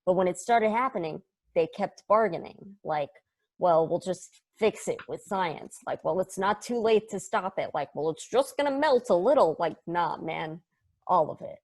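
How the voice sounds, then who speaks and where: A woman's voice: on the low side, expressive, normal speed, a little mellow. A woman playing a brash, irreverent, joking space probe in a stage play table read, talking in casual, sweary slang. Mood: wistful, sardonic.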